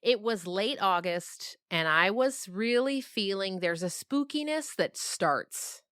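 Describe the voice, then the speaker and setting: A woman's voice: mid-range voice, expressive, slow pace, a bit bright, clean tone, no room sound, clean studio sound. A woman novelist being interviewed, telling stories conversationally in an emphatic way and often checking in with the listener. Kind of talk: animated conversational storytelling.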